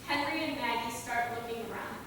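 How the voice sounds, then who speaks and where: A woman's voice: fairly high pitch, a little fast, a bit bright. A woman narrator reading scripted stage directions at a staged reading. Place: theater stage, recorded from a distance. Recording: very echoey hall, far mic, noisy.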